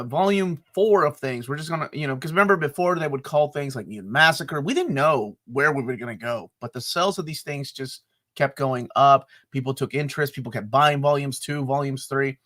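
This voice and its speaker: A man's voice: medium pitch, bright, forceful tone. A man co-hosting a live comics talk stream, chatting casually, joking and rambling. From United States. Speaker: co-host of a comics talk show.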